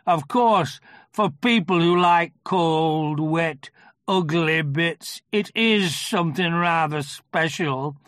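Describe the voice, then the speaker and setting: A reader voicing a gloomy old male toy donkey in a children's storybook, gruff, ponderous and morose. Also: mid-range voice, slow pace, a bit bright, no echo, clean studio sound, pitched above the performer's own voice. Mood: gloomy, sarcastic. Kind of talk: children's storybook character reading.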